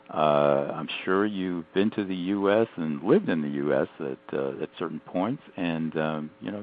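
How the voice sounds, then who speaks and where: A man hosting a radio talk show, introducing his guest in an emphatic, animated way with frequent fillers. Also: deep voice, vocal fry. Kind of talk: radio interview with lively promotional delivery. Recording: tinny phone-like audio.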